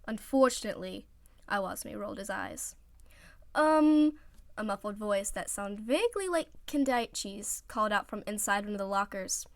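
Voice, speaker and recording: A woman narrating fan fiction as an amateur reader, expressive and scripted. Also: medium pitch, fairly quick, hardly any pauses, no room sound.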